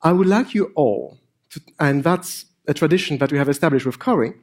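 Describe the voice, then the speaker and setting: Higher-pitched man with a French accent. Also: animated, brisk. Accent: French with a hint of Polish. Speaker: conference speaker, AI researcher and improviser. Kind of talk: informal stage presentation with dry humour. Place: on stage at a conference.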